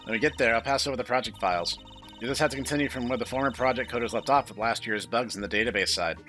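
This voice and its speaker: Guy doing friendly young coder girl, earnest n responsible, visual novel read, bright voice. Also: medium pitch, fast, faint music behind, lightly acted. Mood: friendly, upbeat.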